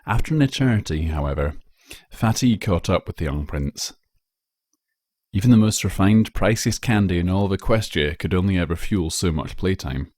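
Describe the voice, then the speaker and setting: Deep-voiced man narrating a story. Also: lively intonation, normal speed, a little mellow, no echo, studio quality. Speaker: narrator, fan fiction reader.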